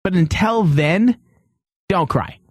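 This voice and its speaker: A man's voice: high-pitched, expressive, slow pace, no room sound, studio quality, faint music behind. A man hosting an opinionated panel talk, arguing his points emphatically. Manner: emphatic, argumentative. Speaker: podcast host.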